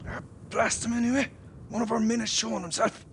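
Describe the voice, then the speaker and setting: A man playing a high-voiced excitable Irish rebel. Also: brisk, creaky, very noisy. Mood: urgent. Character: excitable rank-and-file Irish rebel fighter. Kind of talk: war radio play acting.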